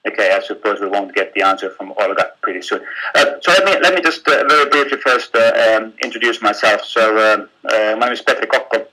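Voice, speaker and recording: A man from Finland with a Finnish accent, giving a live webinar in a halting way, with many fillers and repeated words. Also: lowish voice, pushed and bright, low-quality recording. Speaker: webinar speaker.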